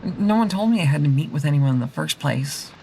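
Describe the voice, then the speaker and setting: A woman's voice: low-pitched, expressive, vocal fry, mellow, slight background noise, poor audio. A woman playing a confused, hesitant young newly turned vampire in an audio drama. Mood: confused, unsure. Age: young adult. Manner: hesitant, halting.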